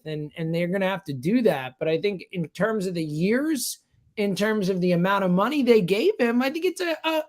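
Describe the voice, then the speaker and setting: A fired-up man, a sports radio guest, giving emphatic, conversational commentary. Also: high voice.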